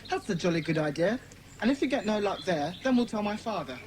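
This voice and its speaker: A woman's voice: medium pitch, expressive, fast talker, background noise, natural voice. A woman acting a bossy, sensible schoolboy club leader in a dramatised children's audiobook. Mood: decisive. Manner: commanding, sensible.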